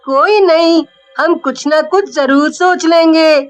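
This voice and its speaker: A woman voicing a poor, caring young schoolboy in an animated storybook tale, speaking in an earnest, childlike way. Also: very high-pitched, takes it slowly. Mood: sad, resolute. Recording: music behind the voice.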